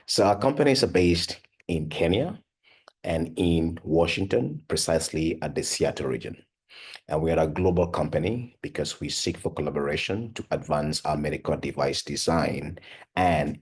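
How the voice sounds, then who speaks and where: A man's voice: very deep voice, normal speed, husky. A young adult man from Cameroon, a device company founder and PhD researcher, telling his story in an earnest, conversational way with many "you know" and "uh" fillers. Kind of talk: personal talk for an online course.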